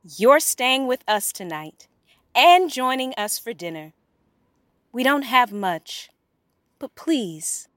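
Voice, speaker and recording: A woman's voice: on the high side, very animated, takes it slowly, pauses often, fairly bright tone, no room sound, clean studio sound, heavily acted. A woman playing a kind, poor village dressmaker and mother in a children's story, welcoming and earnest. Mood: welcoming, concerned. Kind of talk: children's story podcast character acting.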